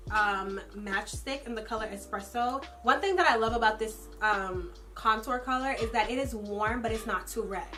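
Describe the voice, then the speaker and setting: A woman's voice: mid-range voice, pushed and bright. Chatty young woman with a fading voice. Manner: chatty, filler-heavy, personal opinions. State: losing her voice, runny nose. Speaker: beauty vlogger. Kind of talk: casual makeup product review.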